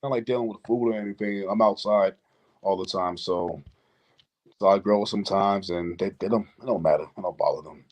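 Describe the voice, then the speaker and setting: A man in his thirties from Boston with a lowish voice. He chats casually as a guest, easygoing and slangy in his wording. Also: a little fast, darkish tone. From Boston, United States. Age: 30s. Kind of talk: casual chat.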